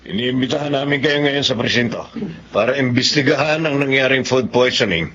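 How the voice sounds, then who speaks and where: An actor playing a stern Filipino police officer, formal and admonishing in radio drama style. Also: male voice, medium pitch, a little fast, a crowd in the background, lightly acted. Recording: dull, faintly noisy track.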